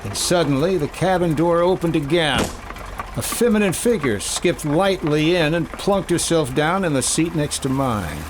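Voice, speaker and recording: A man's voice: a little high, lively intonation, moderate pace, noisy background, close to an everyday voice. A man playing a wry, suspicious hard-boiled insurance investigator in a 1950s-style radio detective drama, narrating and talking punchily.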